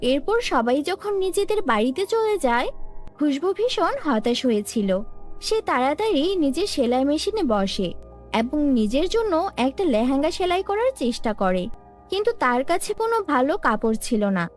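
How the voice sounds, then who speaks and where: A woman with a very high voice tells a fairy tale. It is scripted cartoon narration with soft music playing faintly underneath. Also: big pitch swings, normal speed.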